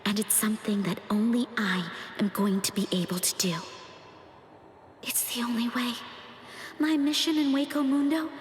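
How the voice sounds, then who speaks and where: A woman playing a gentle young heroine in an anime game, soft and earnest in an inner monologue with quiet resolve. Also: on the high side, fairly even intonation, moderate pace, a little hiss or hum, lightly acted. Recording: long echoing reverb, faint music.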